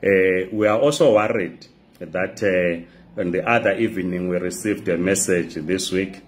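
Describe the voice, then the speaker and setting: A man, hosting a political talk show to camera, his voice hoarse from a flu, pausing often with "uh". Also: low-pitched. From Zimbabwe. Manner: measured, frequent "uh" pauses. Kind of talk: direct-to-camera political address. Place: bright indoor room. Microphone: phone camera close up.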